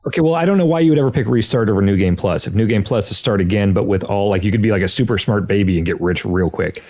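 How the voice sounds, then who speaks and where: A man chatting as a podcast guest, telling long rambling stories in a casual, animated way. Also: on the low side, fast. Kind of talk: casual chat.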